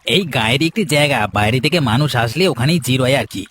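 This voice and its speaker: A man acting as a rustic cartoon boatman, medium pitch, humble, helpful, interjecting, faint background noise. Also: animated, a little fast, fairly bright tone, close to an everyday voice. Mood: friendly, obliging.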